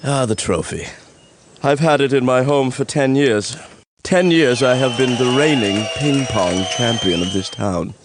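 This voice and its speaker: A man voicing a fussy, pompous middle-aged gentleman and sore loser in a cartoon, given to flustered stammering. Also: medium pitch, a little slow, background noise, close to an everyday voice. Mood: pompous, defensive. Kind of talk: animated comedy dialogue.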